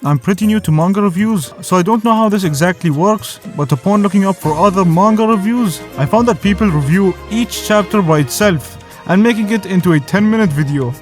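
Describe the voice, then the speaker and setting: A man's voice: sits high, a little fast, few pauses. A man whose first language is Arabic, narrating a scripted review in a casual, joking way as the host. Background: faint music.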